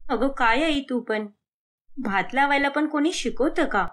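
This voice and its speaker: A woman playing a cheeky, whiny young adult daughter in an animated moral story, complaining and protesting. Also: sits high, measured pace.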